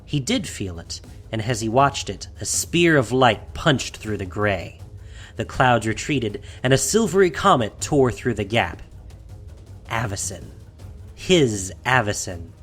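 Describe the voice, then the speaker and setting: A man's voice: fairly low pitch, big pitch swings, unhurried, a bit bright, husky. A man reading scripted fantasy fiction as the narrator of an audio drama, in a dramatic storytelling style. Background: faint music.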